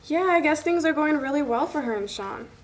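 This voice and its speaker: A woman's voice: high-pitched, animated, fairly quick. A young woman acting a teasing, candid female college best friend in a table read, with casual delivery. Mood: candid, chatty. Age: young adult. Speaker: actor at a script table read. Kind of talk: casual dialogue read aloud from a script. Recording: noisy room recording.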